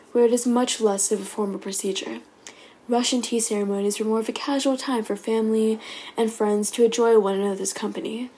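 Mellow woman reading a scripted history. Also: on the high side, low-quality recording. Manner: measured, read from a script. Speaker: podcast narrator. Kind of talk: scripted narration.

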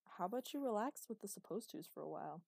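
A woman's voice: medium pitch, lively intonation, quick pace, vocal fry, darkish tone, breathy edge, no room sound, clean studio sound. A woman reading a man's lines, a blunt and exasperated partner, in a storybook reading. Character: man, gruff worried partner.

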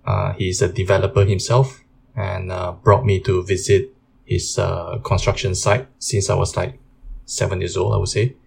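A man from Malaysia in his thirties, a podcast guest and game co-founder, talking about his background in a measured way with fillers. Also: low voice, flat delivery, creaky, slight background noise. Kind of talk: casual interview talk.

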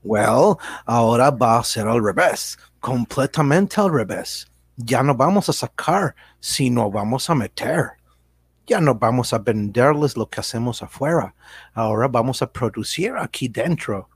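A man's voice: mid-range voice, lively intonation, a little slow, slightly rough, dry recording. A man playing a slick, evasive American businessman in a satirical radio drama, speaking Spanish with an American accent, hesitant and dropping in English words. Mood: persuasive, grandiose. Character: slick, evasive American businessman selling his multinational company.

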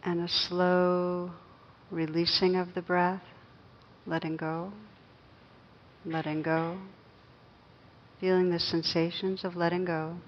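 Low-voiced woman guiding a meditation. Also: level tone, highs cut off, a little hiss or hum. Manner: gentle, unhurried, with long pauses. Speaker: meditation teacher. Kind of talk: guided meditation.

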